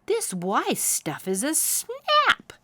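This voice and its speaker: A woman voicing a playful young male owl in a storybook, with an excitable, singsong manner. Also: high-pitched, drawn-out words, no echo, pitched above the performer's own voice, heavily acted. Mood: cocky.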